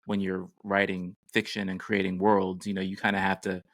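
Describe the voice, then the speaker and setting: A man's voice: sits low, monotone, normal speed, a bit bright. A man co-hosting a podcast from a home studio, talking in a casual, conversational way. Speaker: podcast co-host. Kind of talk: casual chat.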